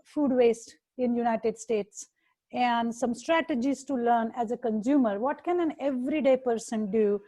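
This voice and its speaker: A woman with an Indian accent hosts an interview, her voice sitting high. She pauses often with fillers and restarts as she searches for words. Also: mellow. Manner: hesitant, many fillers. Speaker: host, dietitian. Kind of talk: interview chat.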